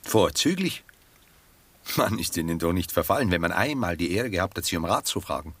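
An actor playing a cultured, melancholic gentleman poet in a German radio drama, speaking in a measured, courteous, reflective manner. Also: low voice, sing-song, breathy edge, full character voice. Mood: courteous, wry.

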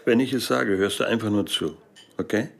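An actor playing a resolute special-forces leader in a German radio drama, speaking in a terse, matter-of-fact way. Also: male voice, lowish voice, brisk, vocal fry. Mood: guarded, insistent. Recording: music behind the voice.

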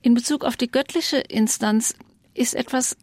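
A woman's voice: fairly high pitch, moderate pace, dry recording. A woman giving explanatory commentary as an expert, articulate and reflective, phrasing things carefully. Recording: music loud behind the voice. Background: music.

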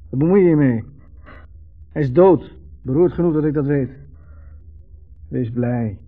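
A man's voice: medium pitch, measured pace, lightly acted. An actor playing a bitter, reclusive man, cold and scornful, in a 1970s radio drama. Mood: angry, hostile. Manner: terse, scornful, theatrical. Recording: slightly dull old radio recording.

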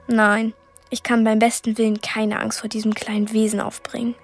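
Radio play lead: plucky girl of twelve, higher voice, dry and sarcastic. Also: completely flat delivery, normal speed. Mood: sarcastic, repelled. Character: plucky, sardonic twelve-year-old girl heroine. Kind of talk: radio drama acting and first-person narration.

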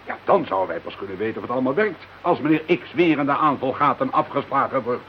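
A man's voice: mid-range voice, lively intonation, fast, natural voice. An actor playing a polite, sceptical man in a radio play, reasoning things out hesitantly. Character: sceptical, polite, hesitant investigator named Joop. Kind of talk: Dutch radio play acting. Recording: dull, slightly hissy radio drama recording.

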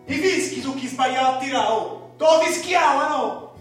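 A man's voice: very high-pitched, moderate pace. An actor playing an agitated, haunted Roman street man in a radio play, with a Roman accent and intense colloquial delivery that swings in volume. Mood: feverish, haunted. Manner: intense, colloquial, swinging between murmurs and shouts. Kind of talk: radio theatre monologue. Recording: very echoey, distant mic, music behind.

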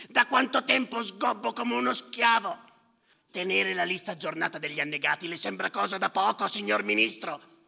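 An actor playing a bitter, sardonic general who tallies the dead, declaiming in a rasping theatrical monologue with repeated phrases. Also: male voice, sits high, sharp tone, full character voice. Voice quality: gravelly, rasping. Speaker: stage actor performing a monologue. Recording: reverberant theatre hall.

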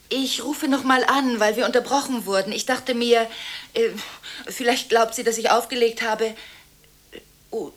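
An actress playing a restless, contradictory woman on the phone late at night in a radio drama, halting and anxious, shifting between hushed and insistent. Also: on the high side. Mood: flustered, hurried. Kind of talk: radio monodrama acting, one side of phone conversations.

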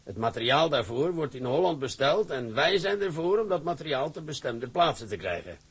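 A gravelly, commanding shipping director. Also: male voice, fairly high pitch, sing-song, a little fast, heavily acted. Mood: lecturing. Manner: authoritative, lecturing. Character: stern older male shipping company director. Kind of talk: radio drama acting.

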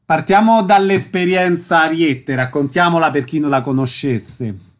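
Higher-voiced male radio host. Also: unhurried. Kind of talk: radio presenting and interviewing.

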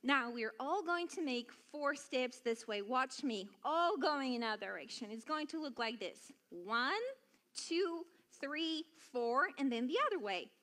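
A woman's voice: high-pitched, animated, a little slow, a bit bright. A woman from Bulgaria leading a children's church lesson, encouraging and giving simple step-by-step instructions. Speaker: children's worship song and dance leader.

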